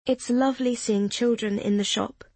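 A woman voicing a young woman far from home who talks gently and lightly while keeping her past to herself, in a slightly breathy voice. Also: on the high side, fairly even intonation, normal speed, dry recording, pitched above the performer's own voice. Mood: gentle. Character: warm young woman abroad, guarded about her past.

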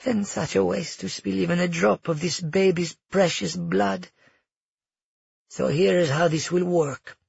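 Man voicing a calmly menacing, high-pitched old grandmother. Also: vocal fry, slightly rough, dry recording, dull audio, heavily acted. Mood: calm menace. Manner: calm, measured, menacing sweetness. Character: Neela, cold, manipulative elderly royal grandmother, falsely tender.

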